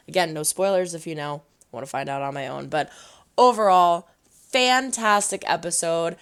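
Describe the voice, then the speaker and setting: A woman hosting a casual reaction vlog, chatty and animated, her voice going hoarse. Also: lowish voice. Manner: chatty, animated, rambling with restarts. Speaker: reaction video host.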